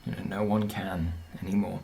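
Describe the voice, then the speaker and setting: A man's voice: medium pitch, slow pace, slight background noise. A man playing a troubled middle-aged scientist father in a radio play, speaking in a faltering, evasive way. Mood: anxious, hesitant.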